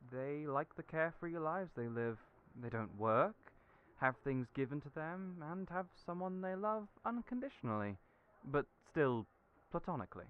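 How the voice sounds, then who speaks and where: A man voicing a young friend who talks earnestly and encouragingly, raised in pitch from his own voice. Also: expressive, moderate pace, clean tone, natural voice. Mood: explaining. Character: warm, reassuring friend, young adult. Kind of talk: audio roleplay acting. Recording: tinny phone-like audio.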